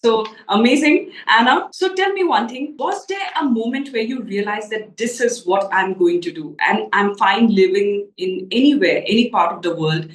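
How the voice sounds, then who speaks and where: A woman's voice: medium pitch, lively intonation, normal speed, few pauses. A woman hosting a talk show interview, effusive and affirming as she asks her guest questions. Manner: effusive, affirming, many "mm-hmm"s. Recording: roomy sound from a distant mic with faint music.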